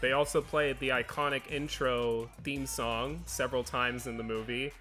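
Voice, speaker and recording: A man's voice: mid-range voice, steady tone, normal speed, bright, forceful tone. A man hosting a film review, talking through his opinions as commentary. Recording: music bed under voice.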